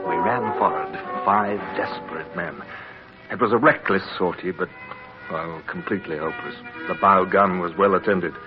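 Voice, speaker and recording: A man's voice: sits low, normal speed, close to an everyday voice. An actor playing an earnest young British midshipman in an old radio drama, speaking in a dramatic, clipped way. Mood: grave recollection. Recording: dull vintage radio recording, loud music.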